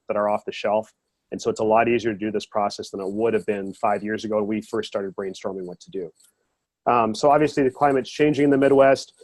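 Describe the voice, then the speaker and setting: A man getting over a cold, giving a webinar presentation over a video call in a quick, informal way. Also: mid-range voice, darkish tone, no echo. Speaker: education and outreach director.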